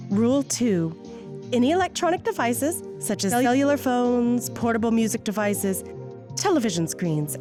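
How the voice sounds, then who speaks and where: A woman's voice: a little high, close to an everyday voice. A woman playing a chirpy, sinister announcer on a mental facility's orientation tape, with a polished, saccharine sales delivery. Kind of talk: scripted promotional video narration. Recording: music under the voice. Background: music.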